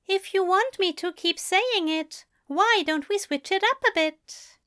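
A woman voicing a soft-spoken, adoring male angel in a read-aloud story, his voice high, smooth and warm. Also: measured pace, full character voice.

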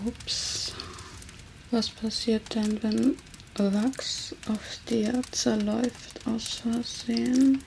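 Slow creaky whisper from a mean flirty vampire lady, a woman playing her, hissy noisy recording. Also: a little high, steady tone, full character voice. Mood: mock sweet. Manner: soft whispering, slow and teasing. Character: teasing, menacing female vampire captor. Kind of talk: ASMR roleplay. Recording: noisy hiss under close whisper.

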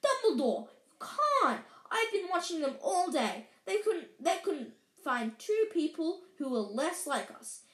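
A woman's voice: very high-pitched, very animated, unhurried, few pauses, fairly bright tone, voice pushed up, heavily acted. A woman voicing a stern, anxious elderly woman professor who stammers and restarts in tearful, breathless outbursts. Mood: indignant. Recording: roomy echo.

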